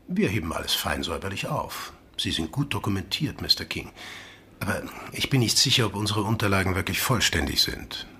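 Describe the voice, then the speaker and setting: A man playing the menacing chief of a secretive agency in a radio play, with a husky, breathy voice and a measured, politely condescending manner. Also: sits low, animated, pushed and bright, a little hiss or hum. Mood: smugly polite.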